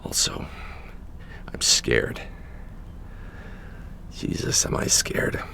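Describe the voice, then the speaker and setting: An actor playing a cocky, hard-drinking American blind jazz pianist in a radio drama, wisecracking and slangy. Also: male voice, very slow, bright, forceful tone, in a whisper. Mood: whispered fear. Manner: wisecracking, bravado-filled, slangy.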